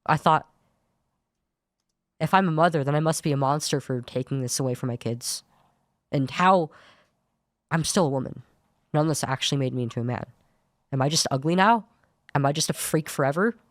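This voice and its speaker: A young woman with a low voice that is hard to place as male or female, giving an earnest personal account as a podcast guest, often restarting her sentences. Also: a little fast, pauses often, no echo, studio quality. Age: young adult. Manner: earnest, searching, many restarts. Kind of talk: personal account in studio talk show.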